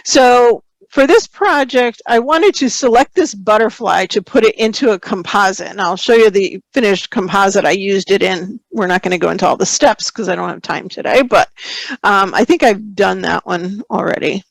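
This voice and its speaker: A woman hosting a software webinar, slightly hoarse, explaining steps in a relaxed way as she works and reading out viewer questions. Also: medium pitch, normal speed, dry recording, rough recording. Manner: relaxed, explains while working, reads viewer questions aloud. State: slightly hoarse, clearing throat. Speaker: photography webinar host. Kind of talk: screen-share software tutorial.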